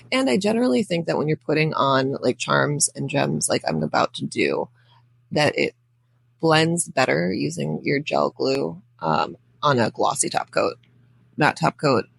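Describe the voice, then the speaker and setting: Crackly, creaky-voiced woman chatting through a tutorial. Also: deep voice, no echo. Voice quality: crackly, raspy. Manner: chatty, informal, rambling asides. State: crackly voice from being under the weather. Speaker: nail art tutorial host. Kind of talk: casual voice-over walkthrough.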